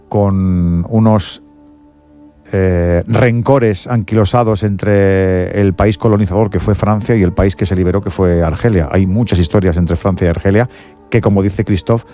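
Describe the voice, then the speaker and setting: A man's voice: deep voice, warm tone. A man from hosting live sports radio, talking earnestly and emphatically with frequent restarts.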